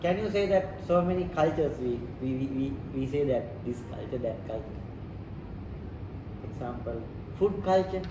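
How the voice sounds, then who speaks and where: A man's voice: mid-range voice, lively intonation, normal speed. A man from Sri Lanka with a Sri Lankan accent, speaking non-native English as he explains ideas step by step, often repeating phrases. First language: not English. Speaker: interviewee explaining leadership and culture. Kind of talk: informal explanatory teaching talk. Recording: roomy, distant mic, noisy background.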